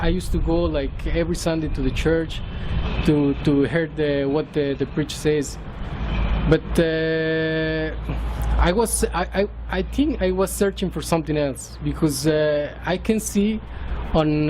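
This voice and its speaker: A man in his thirties with a Mexican Spanish accent gives a personal testimony in English, hesitant, with many fillers and restarts. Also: a little high. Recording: outdoor traffic noise behind.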